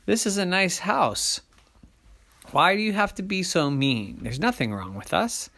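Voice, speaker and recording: A man's voice: high voice, sing-song, measured pace, creaky, bright, forceful tone, voice pushed up, exaggerated voice. Man playing an earnest, lilting storybook parent. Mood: pleading. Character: big grown-up parent, reasonable, earnest, pleading neighbor.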